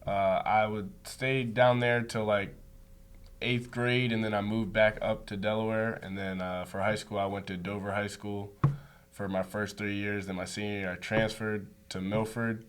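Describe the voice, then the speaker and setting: An easygoing young man with a lowish voice talks about his sport. His answers are casual and loose, filled with "like". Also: little pitch movement, normal speed, smooth. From United States. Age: young adult. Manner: easygoing, says like a lot. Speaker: student athlete guest.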